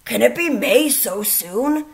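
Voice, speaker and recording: A woman's voice: fairly high pitch, animated, slow, gravelly, pitched above the performer's own voice, full character voice. A woman voicing a sleepy, stubborn male toad in a storybook read-aloud, grumbling and reluctant. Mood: surprised.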